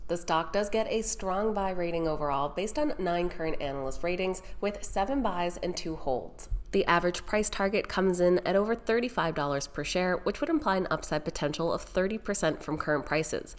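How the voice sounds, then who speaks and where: A woman's voice: on the low side, a little fast, hardly any pauses. Nasal, congested woman presenting stocks. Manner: upbeat, direct address to viewers. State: congested from a sinus infection. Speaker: stock market video host. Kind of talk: scripted market news presenting.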